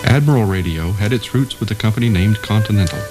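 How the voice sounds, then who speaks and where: A man's voice: lowish voice, animated, quick pace, low-quality recording. A man reading scripted documentary narration in the role of narrator. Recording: music behind the voice. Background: instrumental music.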